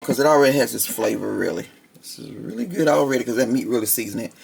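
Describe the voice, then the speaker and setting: A woman with a raspy voice, chatting casually to her viewers in a home kitchen cooking vlog. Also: a bass voice, expressive, quick pace, a little mellow. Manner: chatty, talks straight to viewers. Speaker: cooking vlogger.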